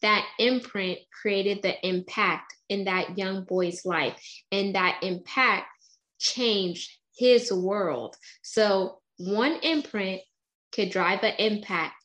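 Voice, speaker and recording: A woman's voice: mid-range voice, takes it slowly, a bit bright. A woman host speaks in a mid-range voice with motivational fervor. She builds her points in rhythmic, preachy runs of phrases. She sounds enthusiastic throughout. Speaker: interview host. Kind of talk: motivational interview talk.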